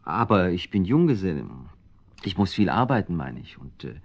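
An actor playing a shy, flustered bachelor in a radio play, stammering and hesitant. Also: male voice, low voice, big pitch swings, normal speed, vocal fry, exaggerated voice. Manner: stammering, hesitant, easily startled. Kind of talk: vintage radio play acting. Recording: dull older lo-fi recording.

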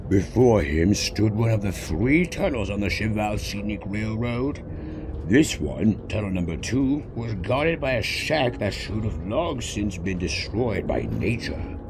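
Male story narrator, low pitch, scripted read, clear noise and train ambience behind. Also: sing-song, measured pace. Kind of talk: scripted narration of fan fiction.

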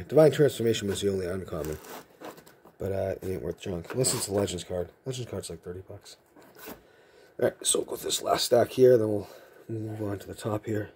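A man from Massachusetts with a softened Massachusetts accent, chatting in a rambling, jokey way while sorting trading cards indoors, picked up by a camera mic from off-camera. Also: lowish voice, a little hiss or hum. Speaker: card collecting channel host. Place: indoor room with card shelves.

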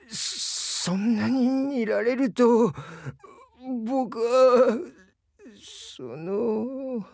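Slow-talking bashful crocodile man. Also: high voice, dry recording, studio quality, heavily acted. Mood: flustered. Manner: soft-spoken, hesitant, earnest, stammers when flustered. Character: gentle, bashful crocodile-man diving instructor, easily flustered. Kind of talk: Japanese game character voice lines.

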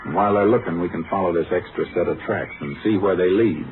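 A man playing a steady, commanding Texas Ranger investigator who also narrates, in 1950s radio crime western style. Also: low-pitched, quick pace, natural voice. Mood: measured narrating. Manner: terse, businesslike, authoritative. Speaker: lead actor and narrator. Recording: muffled vintage radio recording, loud music.

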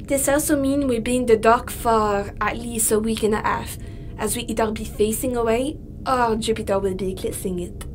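A woman's voice: a little high, normal speed, slight background noise, lightly acted. A woman playing an aloof, work-absorbed scientist in a science fiction audio drama, curt, dry and matter-of-fact. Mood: dry, explanatory.

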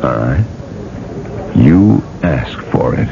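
A man's voice: very deep voice, very animated, extremely slow, creaky, exaggerated voice. An actor playing a proud, ruthless businessman in a radio drama, curt and self-justifying. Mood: cold, dismissive. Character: ruthless, proud, defensive businessman, at least middle-aged. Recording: dull old radio broadcast.

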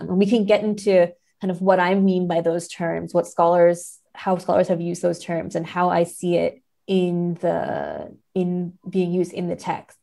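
Woman academic guest for a podcast interview, lowish mellow voice, thoughtful and careful in explanation. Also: creaky. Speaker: podcast guest, literary scholar.

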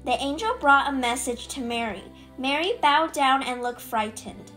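A woman's voice: sits high, moderate pace, fairly bright tone, faint music behind, low-quality recording. A woman reading scripted narration for a children's Bible puppet show.

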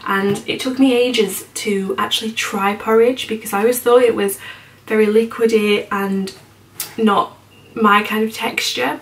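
A woman's voice: mid-range voice, gravelly. British woman chatting in an echoey room. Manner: chatty, informal. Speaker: home vlogger. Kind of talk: casual chat to camera. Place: indoors in a home kitchen and small utility room. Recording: echoey room, distant mic, noisy background.

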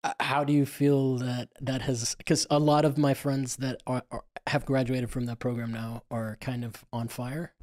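A man's voice: mid-range voice, little pitch movement, normal speed, few pauses, a little mellow. A man hosting a podcast interview in an easygoing, jokey way, laughing often. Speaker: podcast host. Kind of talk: casual interview chat.

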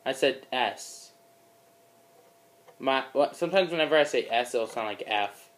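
A man's voice: mid-range voice, measured pace. A teenage boy casually commenting on his gameplay, yelping when he gets hurt, filmed by a phone in a dim room. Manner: excitable, yelps when in danger. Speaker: let's play host. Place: dim room in front of a screen.

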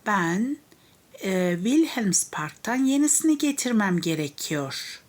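A woman with a Turkish accent, a native Turkish speaker, reading a children's tale aloud as a language teacher, deliberately explaining and translating it phrase by phrase. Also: mid-range voice, very animated. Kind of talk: read-aloud story with line-by-line translation.

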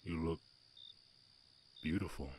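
A man playing a shy, gentle orc cowboy with a slight Southern accent and hesitant delivery in a roleplay audio drama. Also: extremely low pitch, takes it slowly, slight background noise. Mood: bashful, flustered. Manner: hesitant, stammering, bashful. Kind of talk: roleplay audio drama, soft ASMR acting.

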